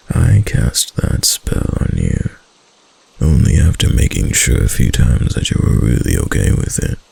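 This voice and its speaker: A man voicing a doting, obsessive kitsune husband in an intimate ASMR roleplay, breathy and gravelly, with hesitant, coaxing endearments. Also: a bass voice, warm tone, heavily acted. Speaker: voice actor in a roleplay.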